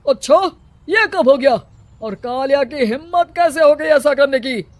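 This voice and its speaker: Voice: sits high, expressive, a little slow, no room sound. A performer voicing a scheming, bossy village headman who scolds and threatens villagers in a storybook cartoon. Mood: scolding. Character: scheming middle-aged male village headman.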